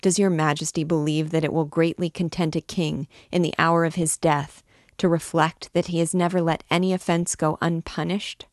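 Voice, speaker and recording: A man's voice: high voice, steady tone, measured pace, dry recording, clean studio sound, voice pushed up. A woman voicing a desperate male prisoner, begging the king for mercy in tearful pleas. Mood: pleading.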